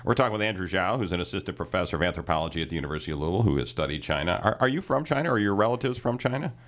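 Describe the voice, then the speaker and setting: Male TV host with a lower voice, conversational, keeps guests talking. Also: expressive, racing, fairly bright tone. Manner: friendly, prompting questions. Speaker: TV show host. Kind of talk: studio interview.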